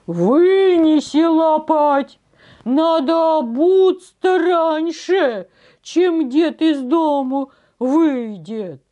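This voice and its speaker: Woman voicing a high, plaintive young farmhand. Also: animated, warm tone, dull audio, full character voice. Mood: pleading. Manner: plaintive, drawn-out pleading. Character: lazy young farmhand, flustered and pleading.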